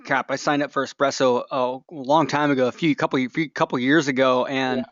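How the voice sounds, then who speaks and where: A man hosting a webinar in a casual, unscripted way, speaking haltingly and often breaking off his sentences. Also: medium pitch, little pitch movement, fairly bright tone, no room sound. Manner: hesitant, halting delivery with broken-off sentences. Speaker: webinar host. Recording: dull, lo-fi webinar audio.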